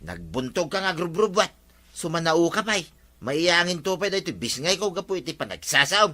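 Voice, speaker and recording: A cheeky, vain freeloader with an Ilocano accent in a village radio comedy. His voice is bright and fairly high, with very animated, leaping pitch. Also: normal speed, exaggerated voice. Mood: vain, hurried.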